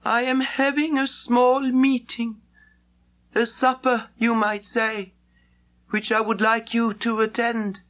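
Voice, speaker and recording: A woman voicing a dignified male Chinese Mandarin with a formal, solemn, measured manner in an audiobook. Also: on the high side, level tone, fairly bright tone, pitched above the performer's own voice, poor audio. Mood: courteous, grave.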